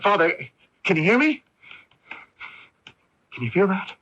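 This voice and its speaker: Brooding young man, anxious and expressive, low register, for muffled vintage AM radio drama. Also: a little slow, no echo, full character voice. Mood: anxious, pleading. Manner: brooding and questioning, stammering under stress. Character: troubled, anxious young son recounting his story. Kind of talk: radio drama acting with first-person narration. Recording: muffled early-1980s AM radio broadcast, music behind.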